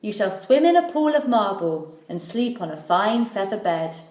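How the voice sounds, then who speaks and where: A woman's voice: medium pitch, very animated, normal speed, darkish tone, natural voice. Storybook circus boss, played by a woman: sly, greedy, grand and commanding; echoey room recording. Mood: coaxing, boastful. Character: sly, greedy male circus owner, boastful and unkind.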